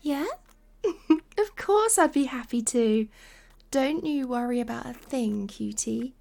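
A woman playing a doting, seductive lover in an intimate adult audio roleplay, breathy and coaxing, often slipping into whispers. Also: high voice, expressive, slow pace, a little mellow, exaggerated voice. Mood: tender, teasing.

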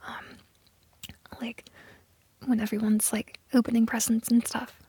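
A woman acting a flustered, awkward young lover in an intimate audio roleplay, with a breathy, hushed voice and halting, stammering delivery. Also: a little high, level tone, no echo. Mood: shy, teasing. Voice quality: breathy, whispery.

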